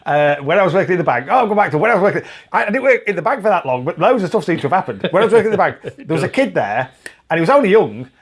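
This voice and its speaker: A chatty man co-hosting a podcast, his voice sitting a little higher than most. He tells stories in a casual way, breaking into laughter often. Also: sing-song, rapid-fire, slightly rough. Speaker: podcast co-host. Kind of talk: casual banter.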